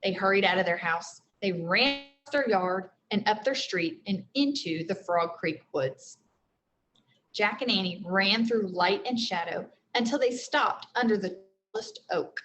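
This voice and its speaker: A woman's voice: mid-range voice, lively intonation, measured pace, a bit bright, rough recording. A woman teacher reading a storybook aloud to children, explaining things simply as she goes. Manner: explains simply, with frequent check-in questions.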